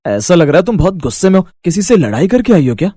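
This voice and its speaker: Good-natured young husband, male actor, story drama: animated, exclaiming banter, dry close sound. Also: high voice, fast, no room sound. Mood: surprised. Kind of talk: Hindi moral story voice acting.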